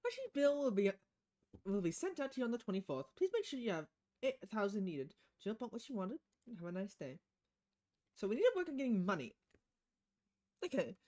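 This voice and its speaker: Woman streamer with lively pitch swings. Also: a little fast, long pauses. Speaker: game streamer. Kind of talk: casual livestream chat and read-aloud.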